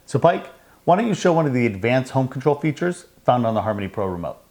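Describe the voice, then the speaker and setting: A man's voice: mid-range voice, very animated, fast talker, darkish tone. A middle-aged American man presenting a semi-scripted product demonstration indoors at a house.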